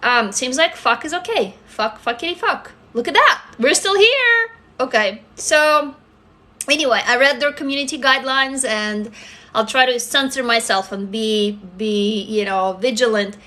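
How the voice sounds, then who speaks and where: A woman in her forties with a Russian accent talks straight into her phone from a desk at home. Her voice sits high and bright, and she chats openly with viewers, dropping swear words without a second thought. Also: animated, measured pace. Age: 40s. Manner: candid, swears freely, chatty asides to viewers. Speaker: livestream host. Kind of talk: casual livestream chat. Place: indoor room at a desk. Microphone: phone camera close up.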